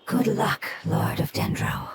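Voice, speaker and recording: A woman's voice: measured pace, very noisy, close to an everyday voice. A woman playing a serious, wise fungus creature in a video game, with a formal, earnest way of speaking. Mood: solemn. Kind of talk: video game voice acting, English dub. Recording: loud game music behind the voice.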